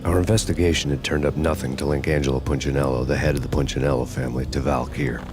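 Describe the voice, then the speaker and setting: An actor playing a weary, hard-boiled fugitive cop, narrating a noir video game in a terse deadpan. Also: male voice, a bass voice, fast, background noise. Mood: grim, deadpan.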